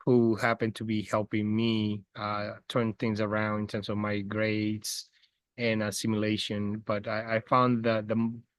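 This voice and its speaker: Give me a hesitant man, speaking in a low, flat voice. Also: measured pace, clear, resonant tone, no room sound. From Dominican Republic. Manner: hesitant, many "uh" fillers and restarts. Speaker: guest. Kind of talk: casual interview chat. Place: outdoors by a house among trees. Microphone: video call.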